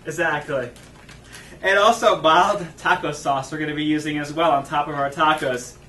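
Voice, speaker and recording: High-voiced upbeat man, echoey distant mic. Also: steady tone, normal speed, sharp tone, slight background noise. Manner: upbeat, agreeable, laughs often. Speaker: cooking show host. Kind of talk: comedic cooking show patter.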